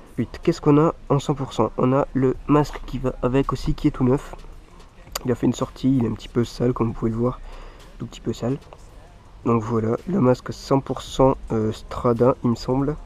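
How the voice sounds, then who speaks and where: A man's voice: mid-range voice, darkish tone. A man with a head cold and a stuffy voice, chatting casually to viewers as a mountain bike vlogger while showing off his gear. He rambles and leans on the same filler phrases again and again. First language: French.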